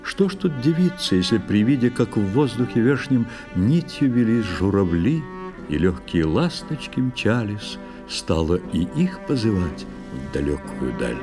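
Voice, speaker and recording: A man narrating a poetic tale theatrically, in a measured, expressive storytelling manner. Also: on the low side. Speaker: narrator of a children's musical audio play. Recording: long studio reverb, music underneath. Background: music.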